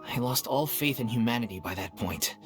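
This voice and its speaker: A man's voice: medium pitch, lively intonation, brisk, over music, natural voice. A man playing an easygoing, sincere stage actor loyal to his troupe, in a video game. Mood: sincere, reflective.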